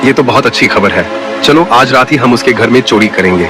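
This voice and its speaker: A man's voice: medium pitch, brisk, music in the background, lightly acted. A man playing a ruthless, greedy bandit chief in a cartoon story. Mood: pleased. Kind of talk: cartoon story villain acting.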